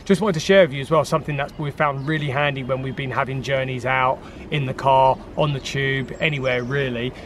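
A British man in his thirties presenting a casual vlog in an upbeat, chatty way. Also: a little high. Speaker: vlog host. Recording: faint urban background noise.

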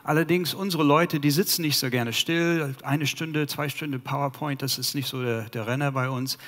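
A man in his fifties from the United States speaking German with an American accent and pausing to search for words, presenting to a conference audience on a headset mic in a large hall. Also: a little high, steady tone, fairly bright tone. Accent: American English. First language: English. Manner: halting, searches for German words, many fillers. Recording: long hall reverb.

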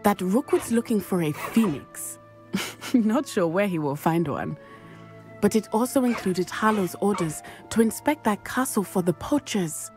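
A determined young witch, voiced by a woman, speaks in careful, formal sentences with quiet confidence. Her voice sits in a middle register, sometimes sinking to a near whisper. Faint game music plays underneath. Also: medium pitch, lively intonation, natural voice. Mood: confident. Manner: measured, formal, confident speech, often hushed. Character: composed teenage schoolgirl witch, brave and determined. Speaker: game character.

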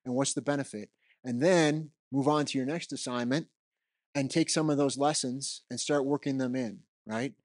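A man's voice: mid-range voice, moderate pace, darkish tone. A middle-aged man giving an unscripted keynote in a conference hall, speaking conversationally into a handheld microphone and often tagging his points with "right?". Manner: conversational, roams the stage, frequent "right?" tags.

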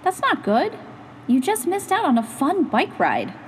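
A woman reading a children's picture book aloud in an animated, instructive, expressive way. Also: high voice, unhurried, in a large hall, slight background noise. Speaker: storytime reader and host.

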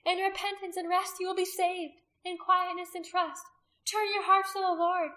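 A woman reader plays a fervent woman believer in a dramatic storybook reading. She proclaims her faith in a raised, high, bright voice, earnest and fearless. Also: little pitch movement, normal speed. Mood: urgent pleading. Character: devout, brave woman believer pleading and preaching.

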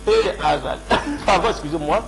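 A pastor with a cold speaks with a gravelly, fairly high voice, citing scripture at an unhurried pace. He sits at a studio radio mic. A clear electrical hum runs underneath. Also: sing-song. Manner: unhurried, quotes scripture, mixes French and Lingala. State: has a cold. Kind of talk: preaching on a radio call-in show. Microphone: studio mic with headphones.

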